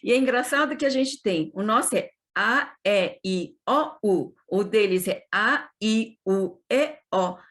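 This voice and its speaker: A woman's voice: medium pitch, lively intonation, a little slow, hardly any pauses, fairly bright tone. A woman from Brazil who teaches Portuguese to children, explaining eagerly with many restarts in a casual panel talk. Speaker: heritage Portuguese teacher in Japan.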